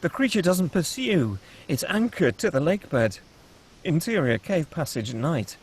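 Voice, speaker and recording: A man with a husky voice reading scripted screenplay action as the narrator of a table read. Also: on the high side, big pitch swings, measured pace.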